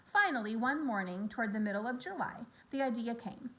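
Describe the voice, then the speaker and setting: A woman narrates a children's storybook with lots of expression. Her voice is bright and on the high side, and it moves up and down in a lively way, like a teacher reading aloud. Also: brisk. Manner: animated, acts out character dialogue.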